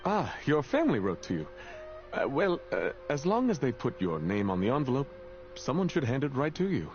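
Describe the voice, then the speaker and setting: An actor playing a kindly, apologetic, self-doubting professor in a video game, speaking in a gentle, halting way. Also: male voice, mid-range voice, huge pitch swings, dull audio, faint music behind. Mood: apologetic, hesitant. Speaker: professor and teacher character.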